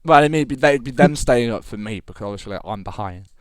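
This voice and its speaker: A man's voice: lowish voice, lively intonation, quick pace, no echo. British-born guy rambling on a podcast, swears a lot, clean dry mic. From Britain. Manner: rambling, sweary, lots of fillers. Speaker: podcast co-host. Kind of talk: casual chat.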